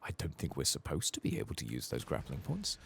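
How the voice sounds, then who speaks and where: A soft-spoken man murmuring unhurried ASMR game commentary, often slipping into a whisper as he muses to himself. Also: rumbling low, huge pitch swings, gravelly, slight background noise. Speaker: gaming streamer, ASMR channel host.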